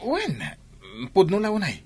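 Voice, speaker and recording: A man's voice: high-pitched, wildly swooping pitch, unhurried, darkish tone, slightly rough, exaggerated voice. A man with an Ilocano accent playing a sly young student who sweet-talks, in a radio drama style. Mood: sweet-talking. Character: sly young student sweet-talking his older girlfriend. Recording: faint background noise.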